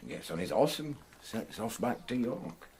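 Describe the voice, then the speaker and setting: An actor playing a chatty, blunt Yorkshire pub landlord in a radio drama, talking in colloquial dialect. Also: male voice, on the low side, sing-song, a little slow, darkish tone, slight background noise. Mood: recollecting.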